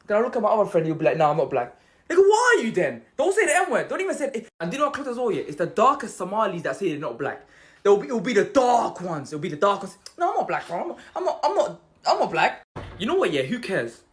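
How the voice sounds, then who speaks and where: A man in an animated comic rant, his voice jumping up when he mocks others. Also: high-pitched, fast. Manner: lively, voice jumps up when mocking others.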